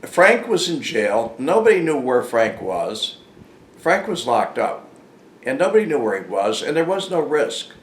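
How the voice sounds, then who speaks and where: An older man telling a casual, unscripted story in a halting, rambling, plain-spoken way. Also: medium pitch, sing-song. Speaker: storyteller, former informant hosting his own channel. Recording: echoey room with faint background noise.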